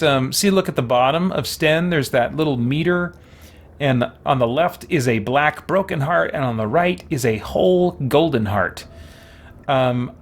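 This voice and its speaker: A man doing casual game livestream commentary, thinking aloud and muttering short remarks to himself as he chats to viewers. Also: medium pitch.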